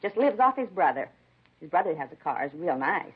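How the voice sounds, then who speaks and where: Indignant, cat-doting American woman neighbor, very animated intonation, rambling, muffled old radio recording. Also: fairly low pitch, a little fast, slightly rough, heavily acted. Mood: chatty. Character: nosy, gossipy, indignant female neighbor who dotes on cats. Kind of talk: 1950s radio drama acting. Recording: dull 1950s radio broadcast recording.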